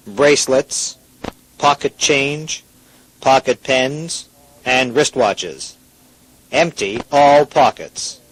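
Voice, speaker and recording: Husky guy doing a male emergency broadcast announcer, stern n formal, very deliberate delivery. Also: medium pitch, rough recording.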